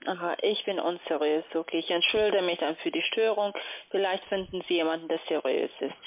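A woman's voice: lowish voice, a little fast. Woman call centre agent, tinny phone line. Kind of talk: telephone sales pitch.

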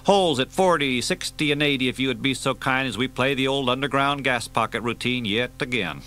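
A man's voice: medium pitch, a little fast, bright, forceful tone, slight background noise, pitched above the performer's own voice, natural voice. A man voicing a dry, unflappable male veteran agent who talks in a wry, easygoing way.

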